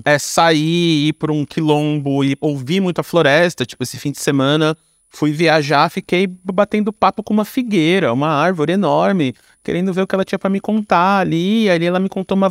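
A man's voice: high-pitched, no echo, studio quality. A man with a Brazilian accent chatting casually as an interview guest, informal and slangy, often adding little tag questions. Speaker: podcast guest, screenwriter. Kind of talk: casual conversational interview answers.

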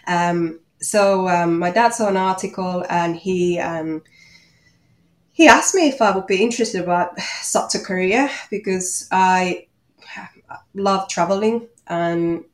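A woman with a Finnish accent answering interview questions as a guest, speaking in a hesitant, halting way. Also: on the low side. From Finland. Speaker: interview guest, watchmaker and small business owner. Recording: echoey room.

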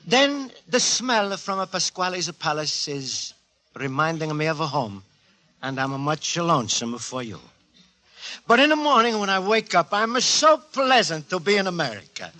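Cheerful Italian immigrant, Italian accent, broken English, high pitch, swooping earnest delivery, old radio comedy. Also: male voice, sharp tone, full character voice. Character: naive, cheerful Italian immigrant antique dealer, earnest man. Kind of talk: 1940s radio comedy acting. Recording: 1940s radio recording, live studio audience.

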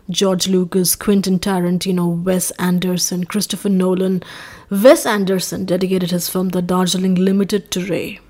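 A woman's voice: medium pitch, a little mellow. A woman with a Punjabi accent, hosting a podcast and narrating from notes in an earnest, informative way, with light asides. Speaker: podcast host.

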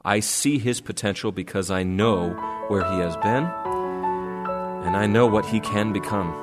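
A man's voice: lowish voice, a little slow, close to an everyday voice. A man playing an earnest, loyal friend in a stage drama, recorded with long reverb. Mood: heartfelt, earnest. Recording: long hall reverb, faint music.

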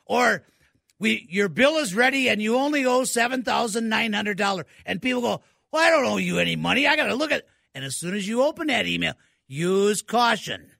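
A man's voice: sits high, expressive, a little fast, gravelly, pushed and bright, no room sound, clean studio sound. A man, co-hosting a radio call-in show and giving tech advice with enthusiasm, repeating words for emphasis. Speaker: tech expert co-host.

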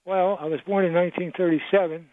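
An elderly man in his eighties phones in to a sports talk show, wandering through his memories and losing the thread now and then. His voice sits a little high. It comes through a narrow, phone-like line with no room sound at all. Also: steady tone, fast talker, dry recording. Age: 80s. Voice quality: rambling, reminiscing. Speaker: caller. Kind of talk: phone-in radio call. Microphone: telephone. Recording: tinny phone line, dry.

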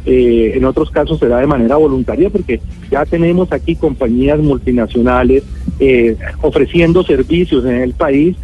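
A man's voice: medium pitch, moderate pace. A man with a Colombian accent explaining as an expert guest in a radio interview, emphatic and given to everyday examples. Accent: Colombian Spanish. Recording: narrow phone-like line, music.

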